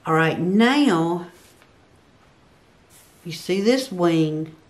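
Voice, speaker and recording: A woman's voice: fairly low pitch, expressive, drawn-out words. An older woman giving a step-by-step drawing tutorial, patiently counting grid squares aloud as she works at a table. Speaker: craft instructor. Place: indoor work table.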